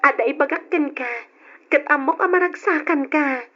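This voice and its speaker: A woman's voice: sits high, normal speed, a bit bright. An actress with an Ilocano Filipino accent playing a lovestruck, anxious young working woman in a radio drama. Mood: sweet, eager. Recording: muffled, noisy old radio drama.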